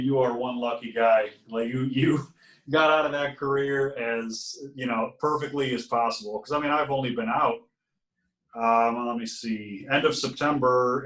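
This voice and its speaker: A man's voice: medium pitch, a bit bright, recorded from a distance. A man from Ohio, a podcast guest, telling his story in an easygoing, casual way. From Ohio, USA. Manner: easygoing storyteller, laughs now and then. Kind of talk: casual interview chat. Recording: roomy sound from off mic.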